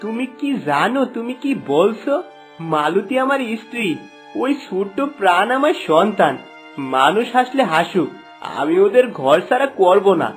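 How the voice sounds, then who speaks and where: A man playing an earnest, emphatic young husband and loyal son in an animated folk story. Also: sits high, normal speed, soft background music. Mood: earnest, defiant.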